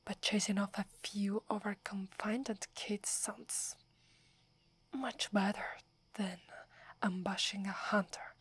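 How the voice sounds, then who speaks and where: A haughty sixteen-year-old werewolf girl, whispered by a woman with an Italian accent, breathy and airy, right up at the mic. She drawls slowly, proud and wary, scolding in a hush like the forest is hers. The ASMR roleplay keeps every word soft and close. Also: mid-range voice, exaggerated voice. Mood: grudging. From Italy.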